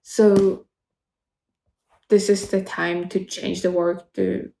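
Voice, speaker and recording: A woman in her twenties speaks English with a Polish accent, chatting casually and circling back over her words. Her voice is slow, dark and gravelly. The room around her adds a noticeable echo. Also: mid-range voice, flat delivery. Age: 20s. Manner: rambling, halting, many restarts. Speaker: vlogger. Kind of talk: casual chat to camera.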